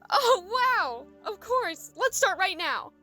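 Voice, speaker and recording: A woman playing a bright, squeaky-high cheery little dragon. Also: very animated, slow, faint music behind, full character voice. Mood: overjoyed. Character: small, cheery, idealistic young female dragon. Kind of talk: audio drama acting.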